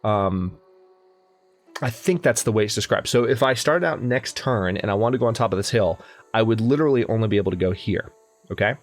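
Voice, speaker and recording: A man's voice: on the low side, animated, brisk. A young man casually commenting on a strategy game as he plays, thinking aloud and often correcting himself. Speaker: let's play host. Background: faint game music.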